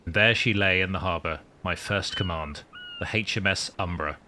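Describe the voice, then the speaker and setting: Man acting as wartime submarine commander, wry, formal, scripted narration, low bright voice, faint rumble. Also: normal speed. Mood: proud, reflective. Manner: formal, dry understatement. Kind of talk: scripted first-person story narration.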